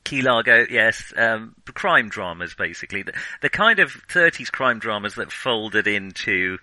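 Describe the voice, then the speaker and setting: A man's voice: lowish voice, animated, a little slow, pushed and bright, no room sound, poor audio. A man co-hosting a film podcast, talking casually and hesitantly, thinking aloud with digressions and a nerdy enthusiasm. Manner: self-confessed nerdy enthusiasm.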